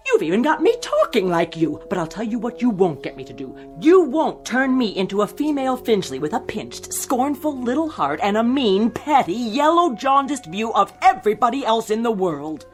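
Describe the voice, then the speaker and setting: A fed-up secretary in an old television drama. She is biting and articulate, her pitch rising and falling freely with sarcasm. Faint music sits behind her. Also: big pitch swings, moderate pace, few pauses. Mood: exasperated, scathing. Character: exasperated, sharp-tongued female secretary fed up with her employer. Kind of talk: 1960s television drama acting.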